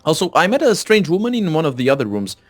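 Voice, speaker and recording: A man hosting a co-op let's play, chatting casually and reading dialogue options aloud in a halting, conversational way. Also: a little high, very animated, soft background music.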